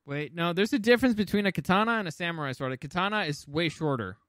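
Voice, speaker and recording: A man's voice: high voice, animated, fast, a bit bright, no echo, clean studio sound. A man co-hosting a casual chat show, with a brash, argumentative, foul-mouthed way of talking. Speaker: podcast co-host. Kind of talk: casual chat, pop culture ranking banter.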